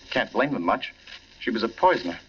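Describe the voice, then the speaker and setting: Flustered rich homeowner in a 40s radio play, husky forceful voice, indignant, muffled old recording. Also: male voice, fairly low pitch, expressive. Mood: uneasy explaining. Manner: halting, protesting. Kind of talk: 1940s radio acting.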